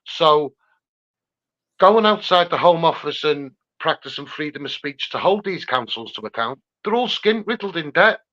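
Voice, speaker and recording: A man's voice: fairly high pitch, animated, moderate pace, fairly bright tone. A man with a Scouse accent giving an impassioned, insistent campaigner's talk full of rhetorical repetition. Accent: Scouse (Liverpool). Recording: slightly dull, lo-fi sound.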